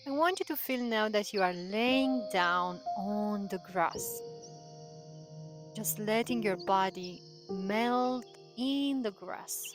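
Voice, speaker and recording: Woman doing guided meditation, really slow and gentle, long pauses, music clearly playing behind her. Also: medium pitch. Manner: soothing, gentle, drawn-out phrases with long pauses. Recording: voice over prominent background music.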